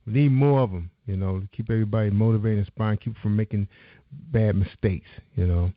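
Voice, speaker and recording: A man's voice: lowish voice, fairly quick, no echo. A man hosting a radio talk show, chatting casually and encouragingly with a guest, full of "you know". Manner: laid-back, encouraging, lots of "you know". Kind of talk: casual interview chat. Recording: dull lo-fi sound, dry.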